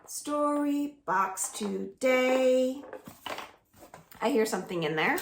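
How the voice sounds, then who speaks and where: A woman reads a picture book to small children, slow and coaxing, with a lively singsong swing in her fairly high voice. A slight gravelly fry sits at the bottom of her tone. The room sounds echoey, with faint noise underneath. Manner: slow, singsong and coaxing, asks the listeners to join in. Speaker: children's librarian. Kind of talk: storytime reading to children. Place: at home.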